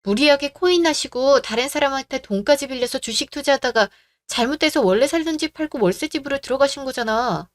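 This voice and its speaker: A woman plays a composed young heroine in a radio drama. She speaks calmly and reasons firmly. Her voice is a touch high. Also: fairly quick, dry recording, studio quality, close to an everyday voice. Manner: calm, reasoned and firm. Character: calm, firm young woman who stands her ground.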